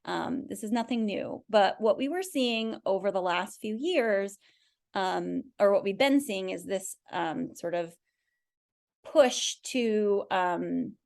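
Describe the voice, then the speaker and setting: A woman's voice: medium pitch, slow pace, gravelly, darkish tone. A woman speaking as an expert podcast guest, explaining in a conversational way and often checking in with the listener.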